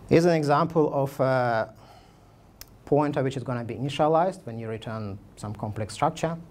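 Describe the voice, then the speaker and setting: A man's voice: medium pitch, normal speed, darkish tone. A man in his thirties giving a conference talk with slides, speaking casually with many fillers and restarts. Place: meetup room, indoors.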